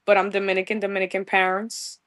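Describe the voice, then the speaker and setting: A woman's voice: medium pitch, little pitch movement, quick pace, a bit bright. A bubbly young woman with a New York accent, chatting in a podcast interview. She gushes freely and drops plenty of street slang. Her voice sits in an ordinary middle register for a woman. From New York, USA. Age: young adult. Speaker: guest musician.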